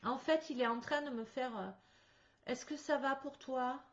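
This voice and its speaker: A fairly high-voiced woman speaks over a video call whose sound is a little dull and muffled. She leads a spirit contact session as the medium, describing what she senses in stop-start phrases. She breaks into quick laughs along the way. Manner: lively, laughs often, halting restarts.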